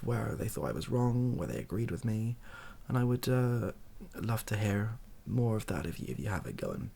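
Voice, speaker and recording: A softly spoken British man improvising a monologue as a video host, hesitant, thinking aloud and correcting himself as he goes. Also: fairly low pitch, creaky, a little hiss or hum. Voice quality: soft-spoken.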